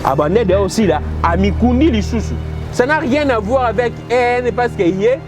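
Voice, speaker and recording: A high-pitched man with a Congolese French accent delivers outspoken political commentary in an emphatic, forthright way. He slips between two languages as he makes his points. Music plays clearly in the background. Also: expressive, moderate pace. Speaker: guest commentator.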